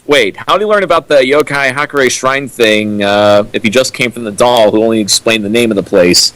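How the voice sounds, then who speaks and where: A man riffing on a fan fiction with a co-host, tossing in dry, quick wisecracks. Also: medium pitch, a bit bright, poor audio. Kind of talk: comedic commentary.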